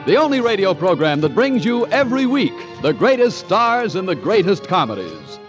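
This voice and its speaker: Brief: man, formal old-time radio announcer, clear music behind him. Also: high voice, big pitch swings, normal speed. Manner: formal, stately introductions. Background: orchestra music.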